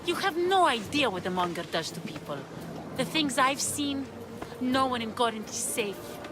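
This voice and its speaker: Frightened, determined young runaway. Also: female voice, high voice, expressive, moderate pace, over music. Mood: wary. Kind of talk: dramatic video game acting.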